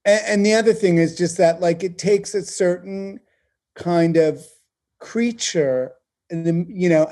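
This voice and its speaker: Male interviewee, TV producer. Soft-edged mellow tone, emphatic and conversational. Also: sits high. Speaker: television producer being interviewed.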